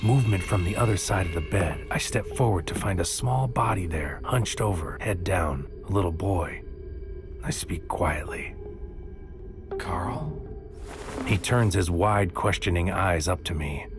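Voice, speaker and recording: Low male lead: wary noir investigator, brooding measured narration, sci-fi audio drama, music bed. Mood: gentle, careful. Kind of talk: first-person scripted audio drama narration, present tense.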